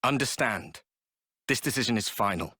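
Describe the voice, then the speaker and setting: An unyielding commander in a game cutscene. His voice is mid-pitched, forceful and dry, with curt authority. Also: animated, moderate pace, slightly rough, no echo. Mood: stern, final. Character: stern, unyielding male military colony commander. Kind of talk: video game cutscene acting.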